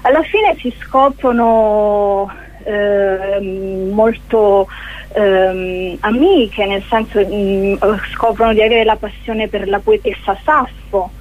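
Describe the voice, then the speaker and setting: Slow, halting woman on a phone line. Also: medium pitch, steady tone. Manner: hesitant, halting, with searching pauses. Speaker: interview guest, actress presenting a show. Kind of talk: informal radio interview. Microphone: telephone. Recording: narrow, tinny phone line.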